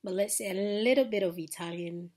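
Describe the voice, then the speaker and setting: A young woman with a Ghanaian accent talks casually to her webcam in a small room, chatty and unhurried, with soft asides. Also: mid-range voice. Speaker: YouTuber. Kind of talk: casual chat to camera.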